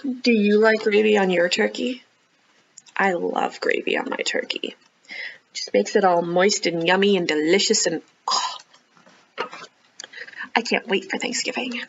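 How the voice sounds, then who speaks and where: A woman children's librarian reading a picture book aloud expressively, with animated, encouraging chatty asides to young listeners. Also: medium pitch, unhurried, vocal fry, long pauses, muffled recording. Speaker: children's librarian hosting storytime.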